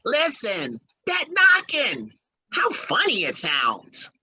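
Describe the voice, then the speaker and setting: A woman playing a simple, stubborn young husband devoted to his mama in an old-time radio horror play, naive, folksy and excitable. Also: a little high, slow, slightly rough, no room sound, heavily acted. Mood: stubborn, uneasy.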